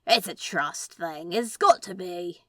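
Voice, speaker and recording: A woman acts out a laid-back male demon from a storybook. He reasons aloud slowly and casually, with a sceptical streak. The intonation swings widely, and the sound is dry and close. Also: lowish voice, animated, no room sound. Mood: confident.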